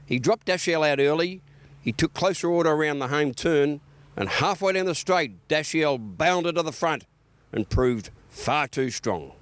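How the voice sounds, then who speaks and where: A man's voice: fairly high pitch, lively intonation, a little slow, no room sound. An Australian man narrating a scripted horse racing report as a sports reporter.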